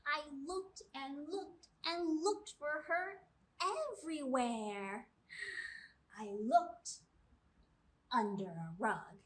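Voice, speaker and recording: Slow, high-voiced woman hosting children's storytime. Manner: expressive, drawn-out key words, questions to listeners. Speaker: children's librarian and storytime host. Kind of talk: read-aloud storytime for young children. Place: small indoor room with brick wall.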